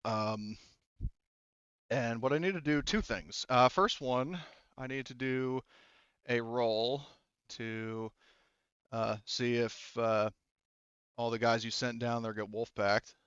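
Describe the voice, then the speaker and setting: A man running a tabletop game as game master, narrating casually and haltingly with informal, sweary phrasing. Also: mid-range voice, steady tone, fairly bright tone, smooth, no echo, lo-fi sound.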